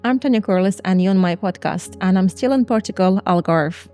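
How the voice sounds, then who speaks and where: Mellow-voiced woman hosting a podcast. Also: medium pitch, a little fast, faint music behind. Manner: non-native English, broken grammar. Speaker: podcast host. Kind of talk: casual interview. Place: Portugal.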